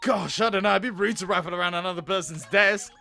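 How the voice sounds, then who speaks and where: A man's voice: high voice, quick pace, vocal fry, bright, forceful tone, faint music behind, heavily acted. A man voicing a polite, awkward male coworker in a dating sim game, earnest and a little hesitant. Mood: worried, earnest.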